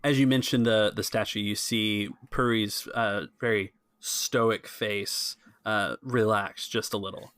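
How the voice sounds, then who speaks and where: Man, game master narration, low pitch, dry close sound, podcast host. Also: fairly even intonation, a little slow, few pauses, a bit bright, clean tone, no echo. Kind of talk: narrating a tabletop role-playing game.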